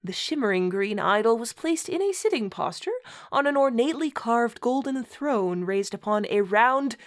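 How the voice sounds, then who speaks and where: A woman's voice: on the high side, lively intonation, normal speed. A woman reading a story aloud in a dramatic style, giggly and adding amused asides. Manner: giggly, often breaking into laughter while reading. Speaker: reader of a bad fantasy story.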